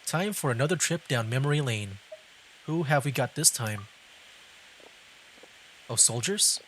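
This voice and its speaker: A man's voice: medium pitch, expressive, a little slow, fairly bright tone, slight background noise, poor audio. A man giving casual live commentary as he streams a video game. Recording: game music behind voice. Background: video game music.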